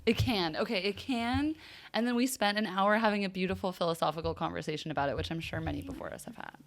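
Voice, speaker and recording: A woman's voice: mid-range voice, fast, vocal fry. A woman co-hosting a podcast in casual chat, telling anecdotes in an informal way with lots of filler "like". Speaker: podcast co-host.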